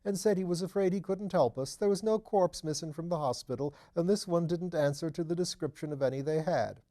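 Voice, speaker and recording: A fussy, deferential gentleman in a storybook, acted out by a male reader, pitched well above an ordinary man's talk. He rambles on nervously and is quickly flustered, with raised, emphatic delivery. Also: lightly acted. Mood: earnest, chatty. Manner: agitated, chatty, deferential, rambling. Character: nervous, obliging, fussy middle-class Englishman, easily agitated. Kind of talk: audiobook character voice.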